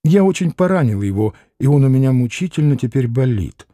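A man voicing a gentle, polite young man in a classic novel audiobook, speaking softly and with restraint. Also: medium pitch, big pitch swings, a little fast, no echo, clean studio sound, lightly acted. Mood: restrained.

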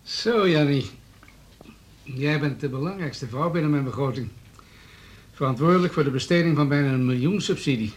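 A man playing a self-important local alderman in a radio drama, speaking formally and deliberately. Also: fairly high pitch, natural voice. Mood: condescending. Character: self-important male alderman talking budgets. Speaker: local government official.

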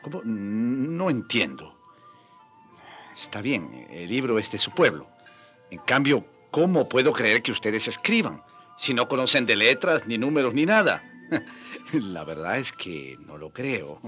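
A man's voice: medium pitch, very animated, unhurried, pushed and bright, faint music behind. An actor playing a compassionate, inquisitive old priest in a radio drama, with a questioning, fatherly manner. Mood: skeptical. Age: older.

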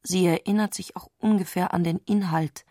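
Eine Frau spricht in einem literarischen Hörspiel die introspektive Erzählerin, die mit bedachten Pausen die Gedanken einer aufgewühlten Frau schildert. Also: female voice, on the low side, fairly even intonation, dark tone, no room sound, clean studio sound, natural voice. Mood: introspective, hushed. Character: intimate, introspective female narrator of a troubled woman's thoughts. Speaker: narrator in a literary radio play. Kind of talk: literary radio drama narration.

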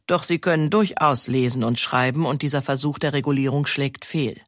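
Measured documentary narrator. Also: mid-range voice, steady tone, fairly bright tone, no echo, studio quality. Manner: measured, explanatory.

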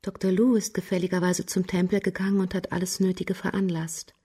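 Genteel widow for radio crime drama, reserved and formal, breathy-rough tone, very even pitch. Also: female voice, brisk. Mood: solemn, deferential. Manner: formal, deferential, composed. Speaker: actress playing a widow.

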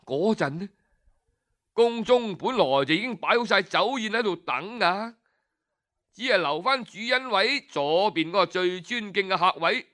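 A man with a Cantonese accent telling a story in an animated, colloquial, dramatic traditional style full of interjections. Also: sits high, measured pace.

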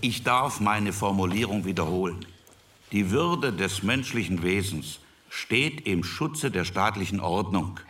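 An actor playing a critical, dignified delegate in a radio docudrama, formal and measured. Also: male voice, lowish voice, expressive, fairly bright tone, distant mic. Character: critical, dignified male delegate in constitutional debate. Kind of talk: scripted docudrama debate.

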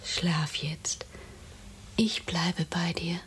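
An actress playing a tender young woman in a German radio play, speaking in a hushed, gentle, soothing way. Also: on the low side, level tone, drawn-out words, a little hiss or hum. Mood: tenderly soothing.

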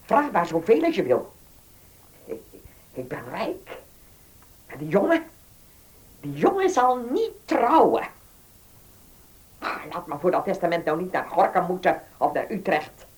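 Radio drama: bitter, imperious old lady of means, low and slow with big swings in pitch. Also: a little mellow, poor audio. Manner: imperious, halting, emphatic. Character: vindictive, rich old noblewoman, haughty and bitter. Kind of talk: Dutch radio play acting.

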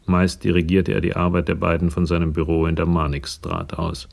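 A man narrating a German radio crime drama in a measured, factual storytelling manner. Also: deep voice, flat delivery, creaky, a little mellow.

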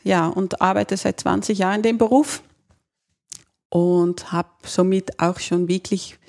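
Dutch-accented woman, native Dutch speaker, explaining her field in a relaxed interview, pausing for words. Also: lowish voice, creaky. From Netherlands. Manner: thoughtful, searching for words, occasional grammar slips. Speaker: ergonomist, guest. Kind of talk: conversational interview.

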